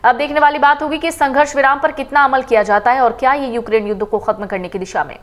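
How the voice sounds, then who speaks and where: A woman reading a scripted news report in a steady, formal manner. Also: high voice, fast talker. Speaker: news reader.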